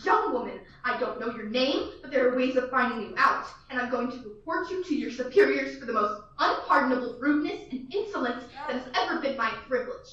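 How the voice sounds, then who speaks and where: A shrill, high-strung invalid woman, played by a student actress in a radio play, panicking and ordering people about. Her high voice rings out in a very echoey room, heard from a distance off the mic. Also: lively intonation, a little fast, few pauses, fairly bright tone, dull audio. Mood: indignant. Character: frantic bedridden woman alone at night. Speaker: student actor. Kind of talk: school radio play acting.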